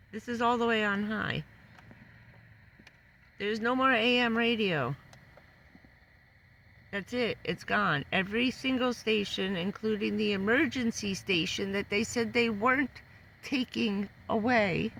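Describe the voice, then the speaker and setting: A hoarse woman who says she is losing her voice, giving a loose, chatty van-life update full of fillers. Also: mid-range voice, a bit bright, slight background noise, poor audio. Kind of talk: casual rambling live update. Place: living in a van.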